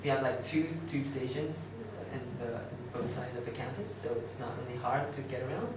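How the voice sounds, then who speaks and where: A young Taiwanese man gives an informal talk in halting, chatty English with a Taiwanese accent, often doubling back on his phrases. His voice sits in a middle register. It is picked up from a distance in a very echoey room with clear background noise. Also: medium pitch, fairly even intonation. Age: young adult. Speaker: student speaker. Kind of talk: informal presentation to students.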